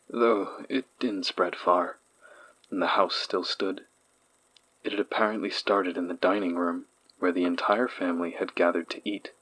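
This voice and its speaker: An actor playing a haunted man recalling childhood horrors in a horror audio drama, with a husky voice. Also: low voice, fairly bright tone, heavily acted, low-quality recording. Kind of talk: dramatic first-person horror narration.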